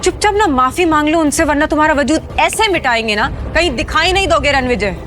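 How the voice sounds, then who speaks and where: A woman's voice: sits high, lively intonation, fairly quick, a bit bright, faint music behind. A woman playing a haughty, stern wife who scolds and threatens cuttingly in an audio drama. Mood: threatening. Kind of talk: Hindi audio drama acting.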